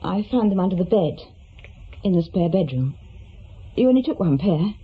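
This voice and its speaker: A woman's voice: fairly low pitch, lively intonation, normal speed, a little hiss or hum, lightly acted. A woman playing a practical, reproachful middle-aged estranged wife in a radio play, speaking tersely and pointedly. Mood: guarded, practical. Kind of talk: naturalistic radio drama acting. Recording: dull, lo-fi radio drama recording.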